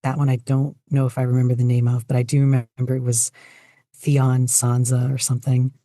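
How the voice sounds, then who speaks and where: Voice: medium pitch, flat delivery, fairly quick, dark tone, dry recording, clean studio sound. A podcast guest chatting casually in an interview, hesitant and self-deprecating. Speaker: podcast guest, fan fiction writer and podficcer.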